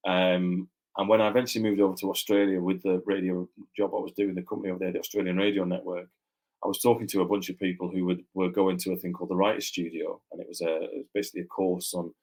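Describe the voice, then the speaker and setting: A man's voice: sits low, flat delivery, fast talker, a little mellow, rough recording. A man with a mixed northern English accent, chatting as a guest author in a loose, rambling way full of fillers and restarts. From Yorkshire, England. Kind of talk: casual interview chat.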